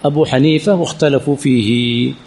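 A man's voice: mid-range voice, steady tone, brisk, a little mellow, breathy edge, poor audio. A man teaching a lesson in a religious teaching center, often quizzing his students with rhetorical questions and repeating key words. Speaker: sheikh teaching hadith chain studies. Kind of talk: classroom lesson with Q&A.

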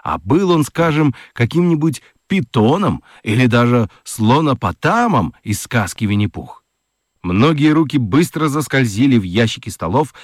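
A man narrating a scripted children's story for a radio play, expressive and fond of dramatic pauses. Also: mid-range voice, no room sound, studio quality.

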